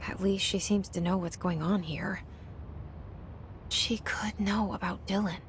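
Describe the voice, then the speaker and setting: An actress playing a young woman's wary, self-doubting inner thoughts in a video game, hushed and introspective. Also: medium pitch, steady tone, measured pace, a little hiss or hum, natural voice. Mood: wary, questioning. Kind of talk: video game internal monologue.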